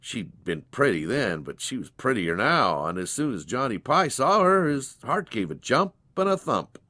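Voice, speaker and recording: Animated man telling a folksy story. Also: mid-range voice, moderate pace, no echo, studio quality. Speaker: narrator. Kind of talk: dramatized storybook narration.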